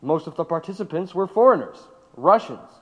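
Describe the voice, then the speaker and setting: An actor playing a stern but polite government agent, clipped and insistent, in an audio drama interrogation. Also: male voice, fairly high pitch, sing-song, fairly quick, fairly bright tone. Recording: muffled, reverberant room sound.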